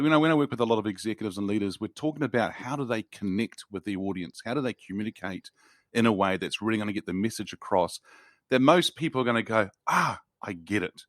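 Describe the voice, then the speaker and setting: A man's voice: fairly low pitch, a bit bright, dry recording, studio quality. Upbeat man hosting a podcast. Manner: upbeat, enthusiastic welcomes. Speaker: podcast host. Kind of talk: podcast interview. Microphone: remote call recording.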